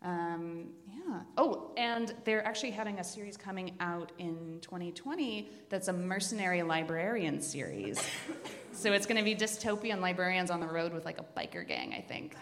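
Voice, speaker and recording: A woman's voice: on the low side, expressive, normal speed, a bit bright. A woman chatting casually as a podcast host recommending books, enthusiastic and a little wry. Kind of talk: casual chat. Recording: reverberant hall.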